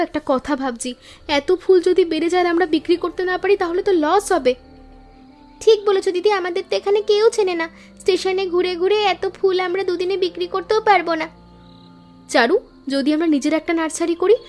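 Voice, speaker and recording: A woman voicing earnest, hopeful young flower-selling sisters in a moral-story cartoon, speaking politely. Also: extremely high pitch.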